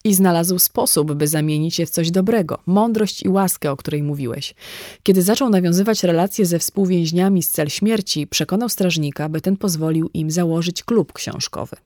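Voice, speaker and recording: A woman's voice: on the low side, moderate pace, warm tone, dry recording, studio quality. A woman reading a scripted audiobook dialogue as a narrator. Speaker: audiobook reader.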